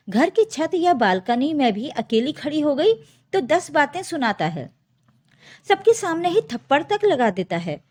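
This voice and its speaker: A woman's voice: high-pitched, darkish tone. A woman voicing a distressed young married daughter pleading with her parents in a story. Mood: tearful complaint. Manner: aggrieved, pleading.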